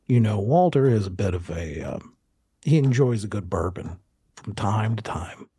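Man acting a low, probing studio boss. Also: animated, mellow, husky. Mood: smooth, patronizing. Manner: halting, deliberate, insinuating questioning. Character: probing, courteous, quietly menacing film studio boss. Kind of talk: 1920s-set audio drama roleplay.

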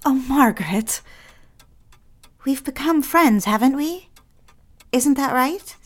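A woman's voice: high-pitched, lively intonation, takes it slowly, heavily acted. A woman playing an earnest, lonely young woman in an audio drama, prim and coaxing, eager to be a friend. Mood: pleading.

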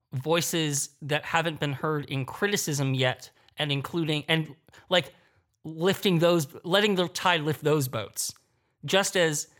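A man's voice: fairly high pitch, fairly bright tone. A man who makes podcasts, talking casually as a panelist.

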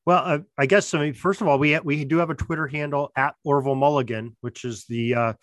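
A man speaking as a podcast guest, explaining things in a halting, earnest, casual way. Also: fairly high pitch, steady tone, dry recording. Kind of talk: casual interview chat.

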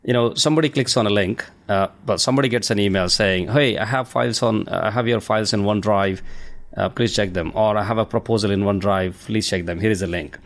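A man from India speaks with an Indian accent and a low, deep voice. He is a guest in a relaxed podcast interview, talking freely and filling pauses with ums and uhs. He laughs lightly between his points. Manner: chatty, laughs between points, many fillers. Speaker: guest, cybersecurity company founder.

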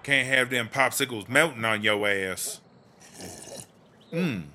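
A man playing a sassy, gossipy, foul-mouthed streetwise woman inmate in a comedy audio drama, with slangy, drawn-out exclamations. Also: mid-range voice, sharp tone, slight background noise. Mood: nostalgic, savoring. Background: music.

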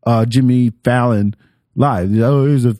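A man's voice: medium pitch, a little slow, warm tone, no room sound, clean studio sound. A man hosting a podcast in casual chat, opinionated and slangy, swearing freely. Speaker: podcast host.

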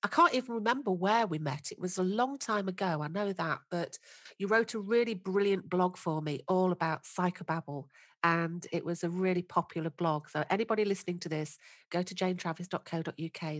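A woman's voice: fairly low pitch, fairly quick, no echo, studio quality. A woman hosting a podcast, talking in an effusive, enthusiastic and chatty way. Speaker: podcast host. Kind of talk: conversational podcast interview.

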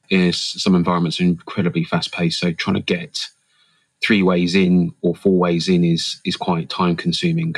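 A man answering interview questions as an HR leader and coach, thoughtful and measured with frequent fillers and restarts. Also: low voice, no echo, low-quality recording.

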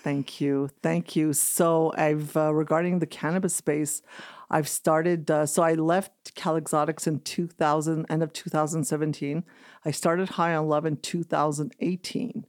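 A woman's voice: low voice, flat delivery, unhurried, darkish tone, dry recording, studio quality. A middle-aged woman from Canada, a company founder telling her story as a guest in a chatty, rambling way. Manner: chatty, storytelling, filler-heavy. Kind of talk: casual interview talk.

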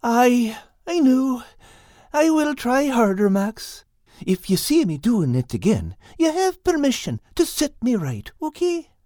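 A man voicing a big, timid, excitable male cat in an audiobook, with a wide-eyed, exclaiming manner. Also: very high-pitched, measured pace, no echo, studio quality, pitched above the performer's own voice, full character voice. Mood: sheepish. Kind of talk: audiobook character acting.

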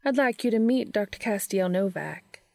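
A woman voicing a friendly, brisk female university department head in an audiobook story. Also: medium pitch, lively intonation, vocal fry, a little mellow, no room sound, clean studio sound. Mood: warm greeting.

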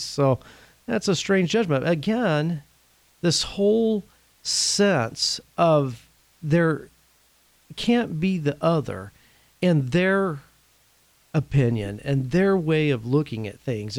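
A man from Indiana hosting a casual faith-based talk, his voice a bit rough from a recent cold, speaking earnestly and conversationally. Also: mid-range voice, darkish tone, no room sound. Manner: earnest, conversational, laughs now and then. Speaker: podcast host.

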